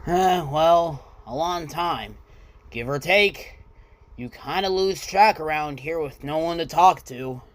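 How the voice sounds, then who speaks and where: Woman acting a low, cheerful old steam engine. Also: takes it slowly. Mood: cheerful, wistful. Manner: chatty, good-natured. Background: music.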